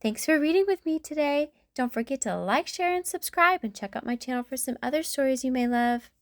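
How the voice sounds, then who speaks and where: A woman's voice: high voice, lively intonation, brisk, no echo, clean studio sound. A woman hosting a read-aloud, narrating a children's storybook expressively. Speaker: storybook reader and channel host.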